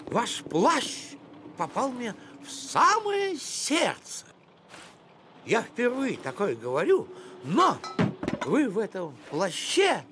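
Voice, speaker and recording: A man playing a fussy, vain, officious middle-aged village postman in a cartoon, pompous and given to melodramatic flattery. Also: very high voice, noisy background, full character voice. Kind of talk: animated cartoon acting.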